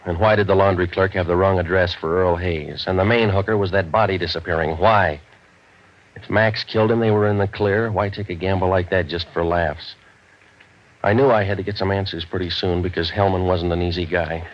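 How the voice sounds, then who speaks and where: Casting: male actor as tough, world-weary American gumshoe, low voice, sardonic deadpan, muffled vintage radio sound. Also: fairly quick, close to an everyday voice. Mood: wry narration. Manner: sardonic, clipped, deadpan wisecracks. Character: cynical wisecracking male private detective, world-weary and tough. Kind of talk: 1940s radio detective drama acting, hard-boiled first-person narration.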